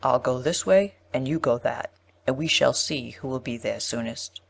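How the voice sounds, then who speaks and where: A woman's voice: very deep voice, moderate pace, creaky, a little hiss or hum, voice pushed down. A woman voicing a sly male wolf with a big voice in a storybook reading. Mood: scheming.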